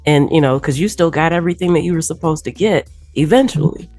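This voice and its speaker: A woman hosting a podcast, relaxed and reflective, asking long questions and laughing along with her guest. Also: deep voice, fast talker, no echo, faint music behind. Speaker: podcast host. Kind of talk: casual interview conversation.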